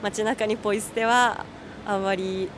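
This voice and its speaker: A woman's voice: mid-range voice, steady tone, a little slow. Young woman, twenties, hedging street-interview answer, busy street behind. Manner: thoughtful, hedging with fillers. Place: city street outdoors. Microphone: handheld mic held by interviewer. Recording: street noise outdoors.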